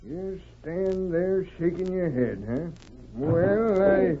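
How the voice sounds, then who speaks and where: An actor playing a gruff, bossy sheriff with an in a 1940s radio drama. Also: male voice, sits high, slow. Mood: coaxing, wry. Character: gruff, bossy frontier sheriff, a man, impatient with townsfolk. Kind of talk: 1940s radio western acting. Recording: dull, noisy old radio recording.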